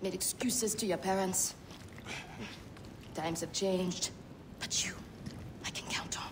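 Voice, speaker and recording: A woman's voice: lowish voice, steady tone, takes it slowly, whispered. An actress playing a caring, bossy female healer and old friend in a video game, with a motherly manner. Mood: tender concern. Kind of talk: video game cutscene acting.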